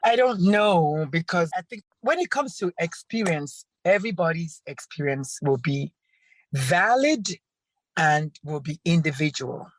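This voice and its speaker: A woman's voice: on the low side, lively intonation, unhurried, dry recording, rough recording. A middle-aged woman with a Nigerian accent, talking confidently and emphatically as a podcast guest. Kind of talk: casual conversation.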